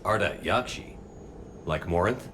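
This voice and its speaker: Low-voiced, resolute military commander. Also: male voice, expressive, measured pace, noisy background. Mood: serious, probing. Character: resolute human military commander, serious and questioning. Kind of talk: video game dramatic acting. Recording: game score playing behind.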